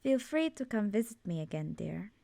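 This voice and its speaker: A woman playing an ancient, ominous yet fond swamp witch in an ASMR roleplay, soft-spoken and breathy, often slipping into a whisper, with a measured, cryptic manner. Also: mid-range voice, sing-song, no room sound. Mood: calm, matter-of-fact. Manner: measured, cryptic, solemn, addressing listener as dear.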